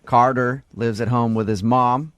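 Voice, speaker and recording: A man's voice: mid-range voice, fairly even intonation, unhurried, clean tone, dry recording. A man with an American accent hosting a morning radio show, bantering and interviewing callers.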